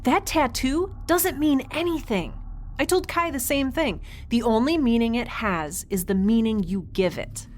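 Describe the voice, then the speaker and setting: A woman's voice: on the high side, a little slow, natural voice. A woman playing an earnest young ship's medic in an audio drama, hesitant and rambling as she thinks aloud. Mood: puzzled, earnest. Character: X, earnest young woman, improvised ship medic, awkwardly reassuring.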